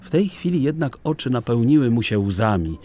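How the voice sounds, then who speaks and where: A man narrating a children's audio story in an expressive, literary storytelling style. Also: medium pitch, normal speed, a crowd in the background.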